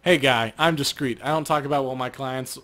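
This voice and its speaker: A man's voice: mid-range voice, fairly quick, sharp tone, lightly acted. A man voicing a discreet, cagey gun dealer from a video game, guarded and terse.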